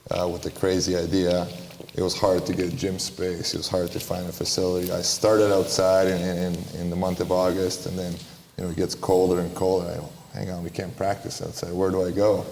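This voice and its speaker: A man's voice: deep voice, monotone, brisk, gravelly, darkish tone. A man from Romania speaking as a panelist at a live event, answering earnestly and bluntly, often repeating his phrases. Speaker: panelist, basketball entrepreneur. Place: large hall, live panel. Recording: echoey hall, faint room noise.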